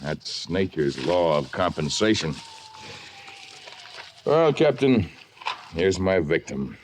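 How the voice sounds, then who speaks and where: Noble jungle lord, low voice, solemn, formal phrasing, vintage radio drama, slight hiss. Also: male voice, lively intonation, takes it slowly, a little mellow. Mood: subdued. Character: grave, noble jungle lord weary of violence. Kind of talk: 1950s radio adventure acting. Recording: noisy 1950s radio recording.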